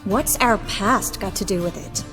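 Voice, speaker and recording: A woman acts out a composed young sorceress from a fantasy game. Her medium-pitched voice is wry and measured, with a world-weary edge. It carries a long reverb over light music. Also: natural voice. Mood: guarded. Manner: dry, measured, articulate. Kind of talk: video game voice acting.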